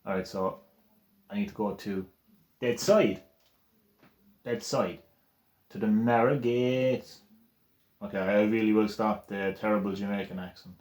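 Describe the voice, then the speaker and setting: A man's voice: fairly low pitch, unhurried, long pauses, a little mellow, reverberant. An Irish man giving casual, joking commentary while playing a game, swearing at enemies. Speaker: gaming channel host.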